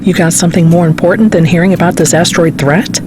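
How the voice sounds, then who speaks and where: Grave woman scientist amid clear background noise played by an actress. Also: fairly low pitch, fairly even intonation, quick pace, close to an everyday voice. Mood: resigned. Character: grave, weary female scientist delivering dire news. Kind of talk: dramatic audio drama dialogue. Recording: noisy car ambience.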